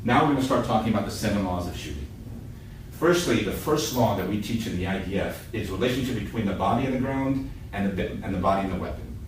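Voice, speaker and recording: A man's voice: on the low side, lively intonation, fast talker. A man from Israel, a native Hebrew speaker, lecturing a class as a military shooting instructor, emphatic and repeating key points in short sentences. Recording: echoey room, distant mic, background noise.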